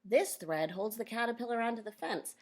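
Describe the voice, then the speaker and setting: A woman reading a children's nonfiction book aloud as a teacher, pausing to explain and question her young listeners directly. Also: on the high side, lively intonation, darkish tone.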